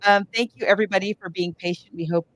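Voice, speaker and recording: A woman's voice: mid-range voice, steady tone, quick pace, a bit bright, dry recording, poor audio. A woman co-hosting a podcast in a chatty, emphatic, casual way. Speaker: podcast co-host.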